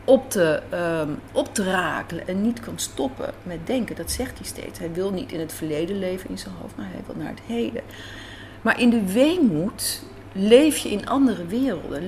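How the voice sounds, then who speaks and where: A woman speaking reflectively in an interview in a café, thoughtful and searching for words. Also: medium pitch. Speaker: radio drama writer and director, interviewee. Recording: faint café background noise.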